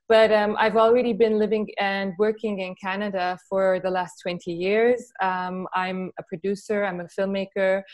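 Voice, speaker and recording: A middle-aged woman from Lebanon speaking as an interview guest, a documentary director who answers reflectively and searches for her words. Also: medium pitch. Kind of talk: spoken interview answers in a film Q&A.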